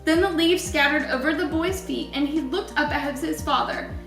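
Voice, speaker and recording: A woman reading a children's story aloud in an expressive storytelling style, with deliberate, emphatic wording. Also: high voice, reverberant, recorded from a distance, music in the background.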